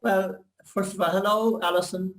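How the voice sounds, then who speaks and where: Rambling older man, high-pitched. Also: steady tone, normal speed, poor audio. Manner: rambling, complimentary, reminiscing. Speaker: audience member asking a question. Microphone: video call.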